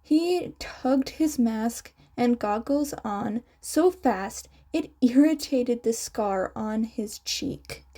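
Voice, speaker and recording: A woman reading fan fiction aloud as a scripted, expressive story narration. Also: a little high. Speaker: podfic narrator.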